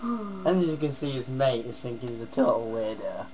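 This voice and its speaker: A man's voice: mid-range voice, big pitch swings, normal speed. A young man with a British accent giving casual running commentary as a prankster. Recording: noisy amateur recording with mains hum.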